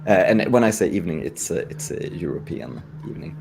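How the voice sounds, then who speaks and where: A man's voice: deep voice, sing-song, quick pace, vocal fry, a little mellow, faint music behind. A man with a Swedish accent, chatting casually on a panel as a guest developer. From Sweden. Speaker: guest developer promoting a game jam. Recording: faint background noise.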